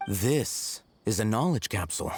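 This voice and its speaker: A coolly rational young male scholar from a video game, speaks in a fairly low voice. He is articulate and precise, with a blunt, detached manner. Music sits behind the voice. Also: big pitch swings, measured pace, gravelly, slightly rough, dry recording, heavily acted. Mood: explanatory. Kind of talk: video game character acting.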